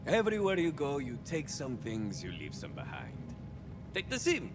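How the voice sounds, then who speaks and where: An actor playing a swaggering young cyborg soldier of fortune in a video game, a touched flavor, musing theatrically and landing wry deadpan punchlines. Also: male voice, mid-range voice, normal speed, in a large hall, music in the background. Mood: wry reflection. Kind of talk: video game character monologue.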